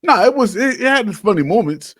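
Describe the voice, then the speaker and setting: A man's voice: high-pitched, lively intonation, brisk, a bit bright, no echo, studio quality. A man hosting a podcast in casual group banter, blunt and wisecracking. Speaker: podcast host.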